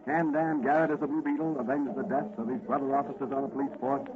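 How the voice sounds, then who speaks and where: An actor narrating a radio serial in a dramatic, suspenseful 1940s style. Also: male voice, on the high side, fairly even intonation, quick pace, lightly acted. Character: melodramatic male radio serial announcer. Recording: noisy, tinny old radio recording.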